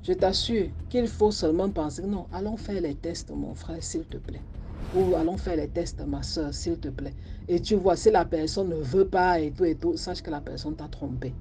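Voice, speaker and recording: A woman's voice: on the low side, normal speed, mellow, slight background noise. A woman hosting a story show, commenting on a listener's story and earnestly giving advice to the audience. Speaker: host.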